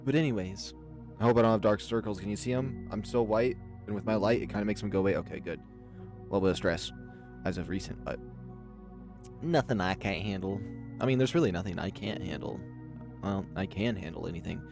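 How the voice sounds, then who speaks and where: A man's voice: lowish voice, fairly quick, vocal fry, over music. A man hosting a visual novel read-along, talking casually and rambling, often cutting into his own thoughts. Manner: rambling, self-interrupting. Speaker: host reading a visual novel aloud. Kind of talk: casual gameplay commentary and narration.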